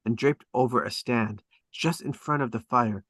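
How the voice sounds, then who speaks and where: A man's voice: medium pitch, moderate pace, fairly bright tone, no echo. Man narrating a script, dry close sound. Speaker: narrator reading stage directions. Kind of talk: dramatic scripted narration at a table read.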